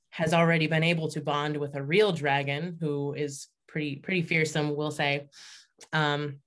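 Very low-voiced woman, thoughtful and articulate. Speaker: fiction writer, interview guest. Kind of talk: conversational explanation in an interview.

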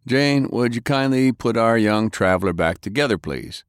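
A man voicing a gruff, exasperated male combat teacher in an audiobook, commanding and given to sighs. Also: medium pitch, lively intonation, moderate pace, no echo, studio quality, pitched above the performer's own voice, natural voice. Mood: resigned. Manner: sighing, commanding.

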